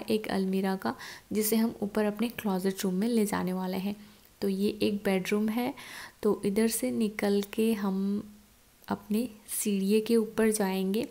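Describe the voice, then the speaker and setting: A woman's voice: mid-range voice, vocal fry, dark tone. A young woman in her twenties chatting casually as she walks a phone camera through her new, empty house. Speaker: vlogger. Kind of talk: casual home tour vlog. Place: empty house with bare rooms, indoors. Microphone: phone camera, at arm's length then handheld while walking.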